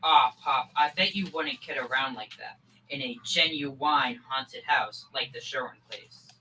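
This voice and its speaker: A man's voice: mid-range voice, a little slow, pushed and bright, low-quality recording. A young male performer playing an eager, needling son with slangy boyish talk in a school old-time radio comedy. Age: young teen. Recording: faint background noise.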